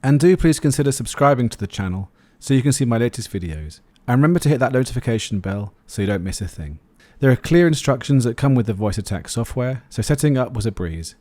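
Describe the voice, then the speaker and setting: A man's voice: mid-range voice, expressive, fast, hardly any pauses, dark tone, no echo. A man narrating a scripted product review as the host of a video.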